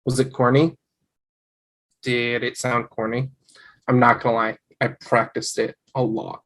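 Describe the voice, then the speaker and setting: A man acts out an eager, devoted young husband in a sitcom read-through. His voice sits in the middle of the male range. He talks in an earnest, excitable way. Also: mid-range voice, measured pace, rough recording. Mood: tender, sheepish.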